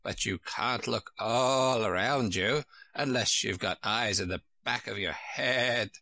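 A man voicing an elderly female sheep who keeps a shop, speaking in a slow, curt, unhelpful storybook manner. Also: on the low side, vocal fry, sharp tone, no echo, exaggerated voice. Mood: curt, dry. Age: older. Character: curt old female sheep shopkeeper, knitting.

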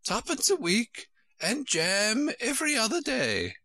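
A helpless, fretful queen from a storybook, acted out by a man reading aloud. The voice is pitched far up and swoops about with a melancholy, put-upon air. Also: huge pitch swings, unhurried, pushed and bright, no room sound, exaggerated voice. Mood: insistent.

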